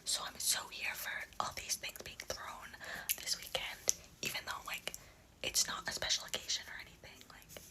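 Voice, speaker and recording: A woman's voice: normal speed, low-quality recording. Whispering young woman. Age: teenager or very young adult. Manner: hushed, confiding, sweary. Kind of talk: whispered get-ready-with-me vlog.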